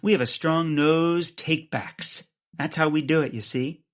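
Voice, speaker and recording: A man playing a bumbling, cocky amateur sleuth in a comedic audio drama, blustering and rambling with hesitant pauses. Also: a little high, steady tone, natural voice.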